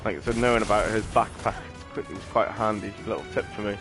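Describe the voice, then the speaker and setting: A man's voice: fairly low pitch, quick pace, over music. A British man giving casual, rambling, sweary gameplay commentary. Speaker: gaming streamer.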